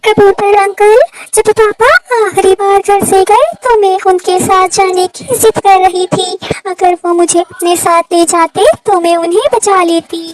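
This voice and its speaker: Woman doing a young girl bird, very high voice, childlike, earnest, pleading, cartoon melodrama. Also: steady tone, a little slow, distant mic, rough recording. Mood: tearful, earnest. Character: young daughter sparrow, earnest, devoted, pleading little girl.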